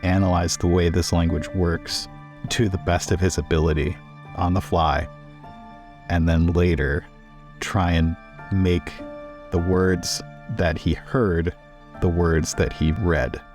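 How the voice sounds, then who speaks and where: Deep-voiced man playing a tabletop game. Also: flat delivery, slow, darkish tone. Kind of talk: casual tabletop roleplay, describing his character's actions. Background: faint music.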